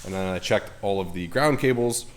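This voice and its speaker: A man's voice: fairly low pitch, a little fast, slight background noise. Young male car-vlog host, congested from a cold, chatty and self-deprecating, home garage. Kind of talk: casual garage vlog talk.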